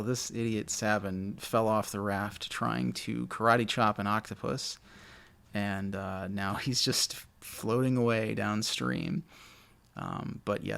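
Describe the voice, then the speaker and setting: A man with a naturally low voice, casually commentating a video game he loves, a little mumbly and self-deprecating. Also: creaky, a bit bright, clear, resonant tone. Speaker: let's play host.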